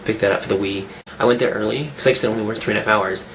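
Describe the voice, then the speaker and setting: A man talking casually in a vlog, rambling and restarting his sentences, his voice rough from a cold. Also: on the low side, steady tone, rapid-fire, noisy background. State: getting over a cold, rough voice. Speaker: fitness vlogger. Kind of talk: casual weekly update vlog.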